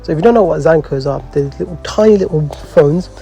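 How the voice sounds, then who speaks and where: A man's voice: a little high, fairly quick, mellow, highs cut off. A man hosting a casual vlog, telling stories in a slangy, sweary, bemused conversational way. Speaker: host. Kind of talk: casual chat, storytelling. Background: background music.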